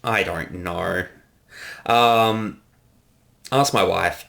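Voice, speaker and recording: A man giving casual director's commentary in a rambling, halting way with some swearing. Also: sits low, creaky, fairly bright tone.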